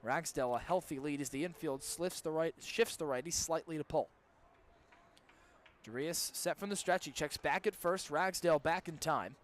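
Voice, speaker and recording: A man's voice: fairly high pitch, moderate pace. A young man doing live baseball play-by-play on the radio, as the game's announcer. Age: young adult. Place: ballpark during a live game. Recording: clear background noise, live ballpark broadcast.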